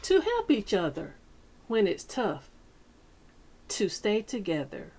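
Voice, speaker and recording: A woman reading a picture book aloud to children like a teacher, with deliberate, dramatic phrasing and pauses. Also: mid-range voice, wildly swooping pitch, mellow, slightly rough. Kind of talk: expressive children's read-aloud.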